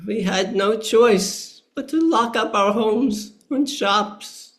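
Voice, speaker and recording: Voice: medium pitch, slow pace, lightly acted. A performer playing an indignant, loyal Union merchant in a historical play reading, speaking in a formal, declamatory way. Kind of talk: play table reading, period speech.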